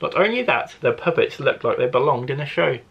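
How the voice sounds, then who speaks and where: Man, schoolteacher storyteller, mid pitch, slightly husky, expressive children's reading, deliberate phrasing. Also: dull audio. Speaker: primary school teacher, storyteller.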